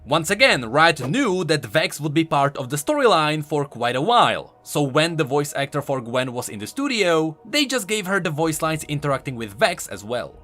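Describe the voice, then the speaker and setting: A man's voice: a little high, sharp tone. A man giving emphatic, explanatory scripted commentary on video game lore as an online host. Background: background music.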